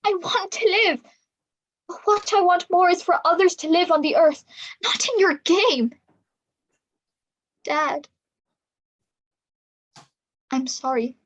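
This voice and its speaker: Woman doing an anguished young gamer girl in a stage play, really high voice, pleading, defiant. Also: slow, pauses often, a bit bright, exaggerated voice. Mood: sorrowful resolve. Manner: earnest, pleading. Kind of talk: staged reader's theatre acting.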